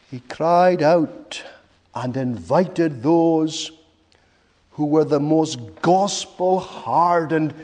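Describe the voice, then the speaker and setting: A man preaching a sermon in an emphatic, rhetorical way, with repeated phrases and dramatic pauses. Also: high voice, a little mellow. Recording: long reverberant hall echo.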